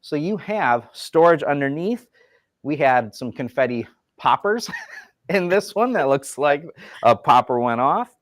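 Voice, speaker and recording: A man's voice: on the high side, expressive, measured pace, no room sound. A man giving an enthusiastic, explanatory product demonstration in a casual chat, with a folksy manner. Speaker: furniture company representative.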